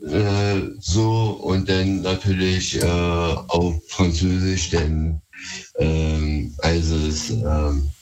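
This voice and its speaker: A man's voice: deep voice, steady tone, extremely slow, a little mellow, faint music behind, poor audio. A man from Berlin with Turkish as his first language, calling in to a radio show and talking hesitantly with many fillers. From Berlin, Germany. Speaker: caller. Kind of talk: phone-in conversation.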